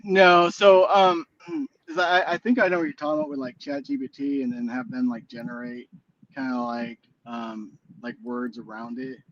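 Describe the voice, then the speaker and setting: A man's voice: medium pitch, level tone, normal speed, fairly bright tone, dull audio, a little hiss or hum. A man in his forties, a guest on a casual chat show, talking in a rambling, hesitant way with many fillers and restarts. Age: 40s. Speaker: guest musician.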